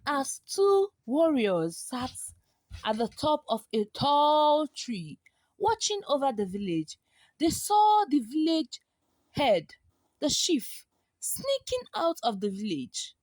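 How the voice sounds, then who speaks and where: Female storyteller, higher-pitched, very lively intonation, dramatic folktale delivery. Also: no room sound, poor audio. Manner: expressive, with gasps and drawn-out repeated words for effect.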